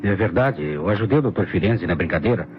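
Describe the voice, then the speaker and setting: A man's voice: low voice, lively intonation, quick pace. A Brazilian man playing a defensive lawyer caught as an accomplice, in an old radio mystery. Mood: confessing. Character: defensive male lawyer, confessed accomplice in a prank. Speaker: radio drama actor. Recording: muffled, noisy vintage radio recording.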